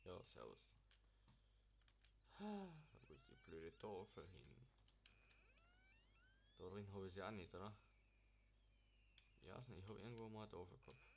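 Man, young adult, has a cold, very low pitch, faint hiss behind. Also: sing-song, unhurried, creaky, long pauses. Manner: mumbles to himself while browsing menus. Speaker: gaming channel host. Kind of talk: casual gameplay commentary.

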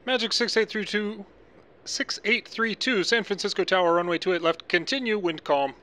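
A man with a slightly high voice plays tower controller in a simulation game. He moves between clipped, procedural radio phraseology and casual commentary to viewers. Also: moderate pace, pushed and bright. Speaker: gaming channel host playing an air traffic controller.

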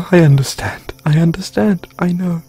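A man performing an intimate comfort roleplay as a gentle boyfriend consoling his grieving partner, speaking in a soothing, tender, hushed way. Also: fairly high pitch, slightly rough.

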